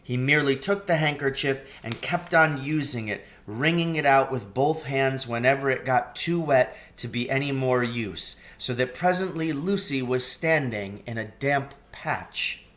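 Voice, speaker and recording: A man reading a children's story aloud in an expressive narrating style. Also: mid-range voice, unhurried, hardly any pauses. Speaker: audiobook reader.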